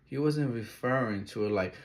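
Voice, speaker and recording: A man's voice: medium pitch, normal speed, noisy background. A man from Ecuador giving casual, emphatic reaction commentary, repeating his key words and using loose street slang. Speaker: video reactor.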